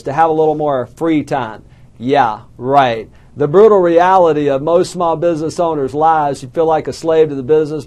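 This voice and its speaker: A man's voice: on the high side, warm tone. A middle-aged man with an Alabama Southern accent giving a motivational talk to an audience, telling folksy stories with self-deprecating jokes. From southern United States. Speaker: small business coach speaker.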